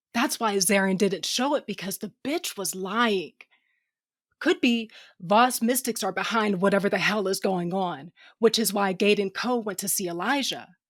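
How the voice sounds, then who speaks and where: A woman's voice: mid-range voice, dry recording, clean studio sound, lightly acted. A woman playing a blunt, sardonic female starship captain who narrates her own science fiction audio drama in slangy language. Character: tough, sardonic, foul-mouthed female ship captain with a cybernetic eye. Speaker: narrator and lead character. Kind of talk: first-person audio drama narration and dialogue.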